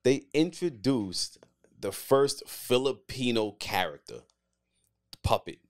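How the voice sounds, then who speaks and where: A man's voice: medium pitch, expressive, slow pace. A man co-hosting a podcast with blunt, opinionated, slangy banter. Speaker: podcast co-host.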